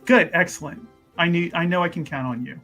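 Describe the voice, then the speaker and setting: A man's voice: a little high, a little fast, bright, forceful tone, soft background music. A man playing in a tabletop roleplaying game, improvising in character with a hesitant, roundabout, repetitive way of talking. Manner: hesitant, repeats words, roundabout.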